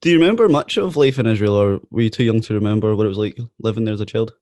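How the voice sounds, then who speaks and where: A man's voice: fairly low pitch, racing, a little mellow, no echo, clean studio sound. A man hosting a podcast interview in a friendly way, often calling his guest "mate". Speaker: podcast host. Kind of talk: casual interview.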